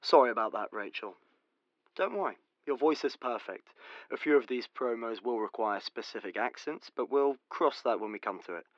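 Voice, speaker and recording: A man's voice: medium pitch, expressive, normal speed, no room sound, dull audio, close to an everyday voice. A man playing a polite, reassuring session director in a radio drama. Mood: reassuring, brisk.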